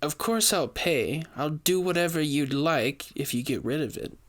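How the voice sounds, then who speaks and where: A man voicing a grieving, secretive high-society widow in an audio story, with a genteel, dramatic, pleading manner. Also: animated, moderate pace, a bit bright. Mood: fearful, pleading. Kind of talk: audio fiction character dialogue.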